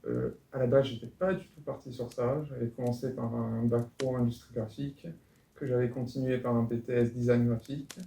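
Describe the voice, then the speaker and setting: A man's voice: mid-range voice, level tone, a little fast, creaky, warm tone. A man in his twenties from France, a master's student, chatting casually about his studies. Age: 20s. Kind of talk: casual podcast chat. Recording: echoey room with faint background hum.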